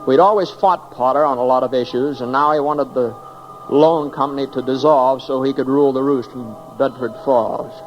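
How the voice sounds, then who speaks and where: An actor playing an earnest young small-town man in a 1940s radio drama, folksy and halting, passionate and easily flustered. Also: medium pitch, fairly even intonation, dull audio, faint music behind, close to an everyday voice. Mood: resigned, narrating. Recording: old 1940s radio recording, faint noise.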